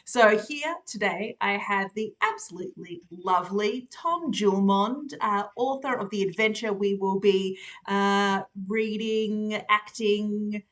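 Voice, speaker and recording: A woman with an Australian accent hosting a casual live stream, chatty and quick to laugh, with lots of fillers. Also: mid-range voice. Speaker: host.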